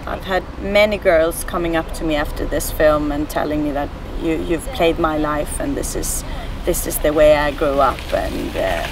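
A woman's voice: low-pitched, distant mic, background noise. A woman in her thirties, a Swedish actress whose first language is Swedish, speaking thoughtfully in an outdoor interview with many hesitations. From Sweden. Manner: reflective, earnest, with frequent hesitations. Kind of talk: documentary interview. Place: outdoors on a lawn.

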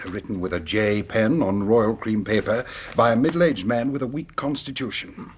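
An actor playing a composed, cultured English gentleman in a radio drama, speaking in a measured, precise way. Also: lowish voice, slight background noise, natural voice.

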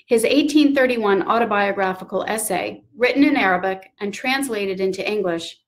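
Woman presenter, middle register, measured and informative delivery of scripted narration. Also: medium pitch. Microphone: video call.

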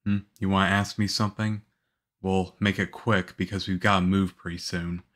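A man playing a calm, reassuring young government agent in an ASMR roleplay, speaking softly. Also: low voice. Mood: reflective. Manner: soft-spoken, unhurried, reassuring.

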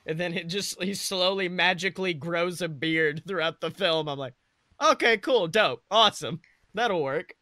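Man, high voice, dry close sound, sweary joking podcast co-host, casual. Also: normal speed, no echo. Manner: slangy, sweary, animated joking. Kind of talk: casual comedic chat.

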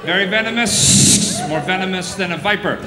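A man's voice: high voice, normal speed. A man in his thirties, a stage hypnotist, bantering playfully with a volunteer on a theater stage through a handheld mic. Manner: playful, teasing, stammers in mock panic. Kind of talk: live stage show banter. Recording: echoey hall, loud crowd noise.

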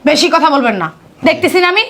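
A woman's voice: sits high, expressive, quick pace, fairly bright tone. A woman with a Bangladeshi accent playing a spiteful, stingy young daughter-in-law in a family drama, sneering, mocking and scolding. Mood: dismissive, scornful.

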